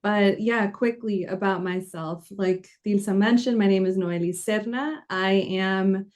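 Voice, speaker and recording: Earnest woman attorney explaining calmly. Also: mid-range voice, a little slow. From Chicago area, United States. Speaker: corporate attorney, guest. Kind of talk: podcast interview.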